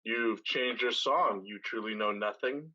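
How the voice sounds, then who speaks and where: A man playing a wry, ruthless pirate captain in a staged play reading, measured and authoritative, with dull recorded sound. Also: fairly low pitch, pushed and bright, exaggerated voice. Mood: cool menace.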